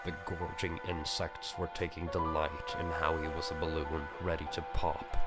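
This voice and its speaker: A man reading a visual novel aloud as dramatic story narration. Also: very deep voice. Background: music.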